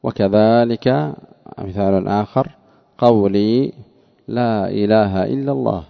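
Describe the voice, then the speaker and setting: A man's voice: fairly low pitch, unhurried, vocal fry. A man teaching grammar in a lecture, explaining a text line by line in a didactic way and repeating phrases for emphasis. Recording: dull lo-fi sound.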